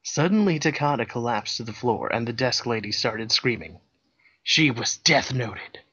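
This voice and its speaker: A man reads a story aloud in a deadpan, matter-of-fact narration, tossing in dry asides. His voice sits at an ordinary middle pitch. The sound is a bit dull and lo-fi, like a remote recording. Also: moderate pace, breathy edge. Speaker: co-host reading a fan fiction aloud. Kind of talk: comedic dramatic reading.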